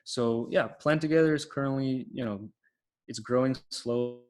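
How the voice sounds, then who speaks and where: A man's voice: medium pitch, normal speed. A man on an online panel, walking through a website he runs in an eager, explanatory way with many fillers. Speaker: panelist, platform founder.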